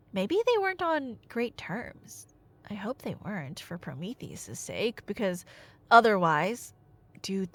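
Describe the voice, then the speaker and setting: Animated high-voiced woman rambling playfully. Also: no room sound. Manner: animated, playful, wry asides, slangy. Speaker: storyteller playing the listener's nerdy girlfriend. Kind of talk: casual rambling retelling of mythology, ASMR.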